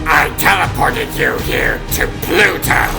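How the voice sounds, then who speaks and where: A man playing a pompous, theatrical starfish alien conqueror in a comic dub, gloating in a gravelly voice over music. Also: fairly high pitch, a little slow. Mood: gloating, menacing.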